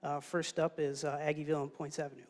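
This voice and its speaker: A man's voice: on the high side, fast. A man presenting an urban design strategy to an audience, with frequent fillers. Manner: steady, lots of "uh" and "kind of". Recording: reverberant hall.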